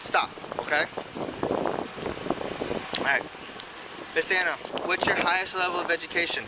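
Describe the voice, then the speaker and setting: A man working as a police officer, giving firm, rapid instructions during a roadside sobriety test. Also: high-pitched, fairly even intonation. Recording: noisy police bodycam audio.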